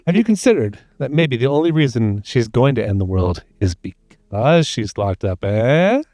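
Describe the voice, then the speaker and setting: A man voicing a reluctant, sceptical game hero in a flippant, stubborn, deadpan way. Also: mid-range voice, moderate pace, dry recording, clean studio sound, faint music behind, lightly acted.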